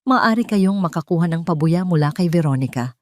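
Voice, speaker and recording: A woman's voice: fairly low pitch, animated, a little fast, darkish tone, no room sound, lightly acted. Woman reading as fallen billionaire, humbled and earnest, pleading delivery, dry close sound, dramatic audio story. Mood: bewildered, ashamed. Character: betrayed billionaire man, humbled, ashamed, bewildered.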